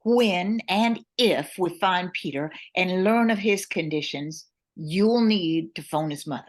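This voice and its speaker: A woman's voice: lowish voice, a little slow. A woman playing a capable but worried airline owner and pilot, reading earnestly in a radio play. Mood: resolute. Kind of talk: old-time radio play acting.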